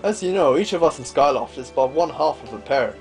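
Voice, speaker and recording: A man voicing a gentle, wistful older father from a fantasy game, telling stories in a fond, reflective way. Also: a little high, animated, a little fast, creaky. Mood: fond, nostalgic. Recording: game music behind.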